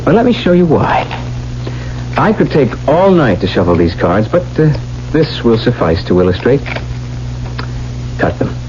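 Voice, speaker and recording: Intense young gambler, wildly swooping voice. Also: male voice, mid-range voice, very animated, unhurried, long pauses, noisy background. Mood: confident, commanding. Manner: intense, theatrical, commanding. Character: desperate, headstrong young male lover turned card-playing gambler. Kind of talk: 1970s radio drama acting. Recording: dull 1970s radio recording, music behind.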